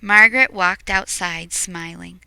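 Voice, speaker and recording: Bright, clear woman reading a storybook aloud. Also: fairly low pitch, measured pace, no room sound. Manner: expressive, with hushed asides to young listeners. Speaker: picture book reader. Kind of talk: storybook read-aloud with explanatory asides.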